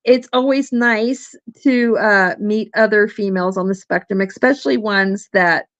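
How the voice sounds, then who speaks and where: A woman's voice: medium pitch, a little slow, fairly bright tone, no echo, dull audio. A woman hosting a casual livestream, talking in a chatty way with lots of fillers and restarts, her voice starting to wear out. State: losing her voice. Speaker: livestream host.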